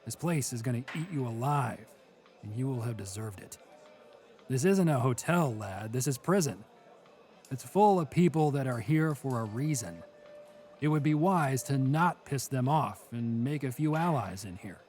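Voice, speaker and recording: A seasoned male inmate played by a man in a comedy audio drama, world-weary and dryly stern. He speaks at a medium pitch in a cautioning, exasperated way, with faint music behind him. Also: expressive, moderate pace, darkish tone, lightly acted. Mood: stern warning.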